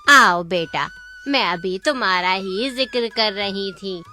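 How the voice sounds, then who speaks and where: Woman as cunning old mother, folk-tale villain, honeyed and sly, piercing tone, very slow delivery. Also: mid-range voice, pushed and bright, soft background music. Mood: sweetly welcoming. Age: older. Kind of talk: Hindi folk tale voice acting.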